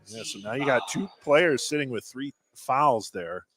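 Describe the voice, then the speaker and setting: A man's voice: mid-range voice, expressive, a little slow, fairly bright tone, husky, dry recording, poor audio. A man giving conversational color commentary and analysis during a live basketball radio broadcast. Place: at a basketball game in a gym.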